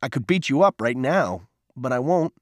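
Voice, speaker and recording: A man's voice: medium pitch, expressive, moderate pace, dry recording, studio quality, close to an everyday voice. A man voicing a remorseful boy in an audiobook story, earnest and pleading as he holds back his temper. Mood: calm, restrained.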